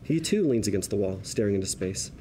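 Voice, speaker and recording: Dark-toned man narrating a screenplay. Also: fairly low pitch, animated, brisk, a little hiss or hum. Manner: wry, colloquial storytelling. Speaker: narrator reading stage directions. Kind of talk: scripted screenplay narration, present tense.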